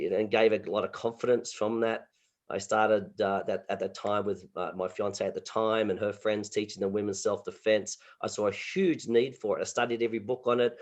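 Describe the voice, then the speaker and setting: An Australian man, a martial arts instructor, telling stories in a relaxed interview over a video call, full of sound effects and restarts. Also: lowish voice. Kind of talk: casual interview storytelling.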